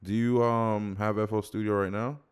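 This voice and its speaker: A man in his late twenties hosting a casual podcast, eager and quick to jump in with questions and reactions. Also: fairly low pitch, level tone, smooth. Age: late 20s. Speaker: podcast host.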